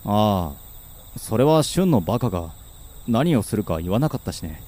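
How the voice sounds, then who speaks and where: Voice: medium pitch, big pitch swings, moderate pace, mellow, slight background noise, close to an everyday voice. An actor playing a cool, level-headed teenage schoolboy in a Japanese audio drama, talking in a casual, dry, frank way. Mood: dry, unimpressed. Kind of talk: Japanese anime-style audio drama acting.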